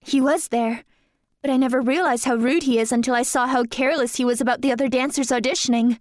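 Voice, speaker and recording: A woman plays a polite young dancer with magical gifts in an audio drama. Her high voice is sincere and careful, and the recording is close and dry. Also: fairly even intonation, fast, no room sound, lightly acted. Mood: indignant, earnest.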